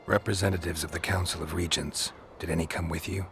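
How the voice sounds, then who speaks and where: An actor playing a stoic monster hunter in a fantasy game, speaking tersely and deadpan in a gravelly voice. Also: male voice, sits low, quick pace, a little hiss or hum. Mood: dry, probing. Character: gruff, stoic male monster hunter for hire. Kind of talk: video game dialogue acting.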